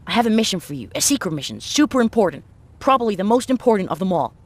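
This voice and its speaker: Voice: medium pitch, very animated, quick pace. A performer playing an earnest child survivor in a game, self-important and pleading. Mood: earnest, secretive. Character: earnest, secretive child survivor asking a favor.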